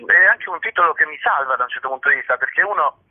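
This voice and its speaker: A man's voice: a little high, lively intonation, racing, breathy edge. A man being interviewed as a stage actor, chatting casually in a hesitant, self-deprecating way over a phone. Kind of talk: casual interview talk about own work. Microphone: telephone. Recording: muffled narrow-band phone line.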